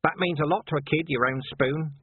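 A man's voice: fairly high pitch, fairly even intonation, a little fast, breathy edge, dry recording, phone-quality sound, pitched above the performer's own voice. A man voicing a blunt, persistent male city watchman.

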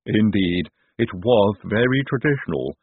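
A man voicing a smug, deferential servant to a mad inventor in an audiobook, speaking deliberately and obsequiously. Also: on the low side, expressive, breathy edge, no room sound, narrow, tinny audio, heavily acted. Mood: smug agreement.

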